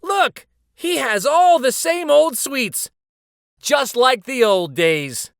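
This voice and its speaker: A man voicing loud, exuberant grown-up fans calling out in a picture book reading. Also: very high voice, animated, slow, vocal fry, no room sound, clean studio sound, pitched above the performer's own voice, exaggerated voice. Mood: excited. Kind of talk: picture book read aloud.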